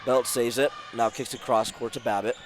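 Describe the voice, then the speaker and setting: A man doing play-by-play at a basketball game, his voice sore and worn from illness, calling the action in short brisk bursts. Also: medium pitch, level tone. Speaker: play-by-play announcer. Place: school gym courtside. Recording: loud crowd and gym noise behind.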